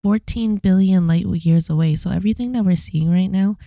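A woman's voice: on the low side, brisk, dark tone, no room sound, clean studio sound. A young woman co-hosting a podcast in laid-back casual chat, her voice worn and losing out. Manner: laid-back, enthusiastic with swearing. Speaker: podcast co-host.

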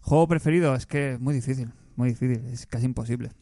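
A man's voice: mid-range voice, quick pace, mellow, no room sound. Guy from chatting about games, thoughtful, lots of restarts, dry close sound. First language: Spanish. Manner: reflective, restarts often, emotional recalling. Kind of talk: casual chat about video games.

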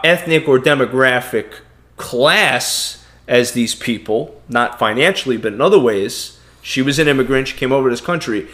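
A man's voice: medium pitch, fairly bright tone. A man from Brooklyn, New York, with a Brooklyn accent, co-hosting a podcast in blunt, heated casual talk. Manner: blunt, heated, repeats words. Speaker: podcast co-host. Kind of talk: casual opinionated chat.

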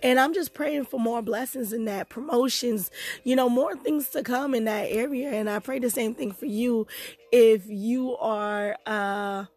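Hoarse, higher-pitched young woman chatting. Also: measured pace, darkish tone. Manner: bubbly, chatty, laughs easily. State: hoarse, losing her voice with a sore throat. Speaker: host of a personal podcast. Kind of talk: casual chat.

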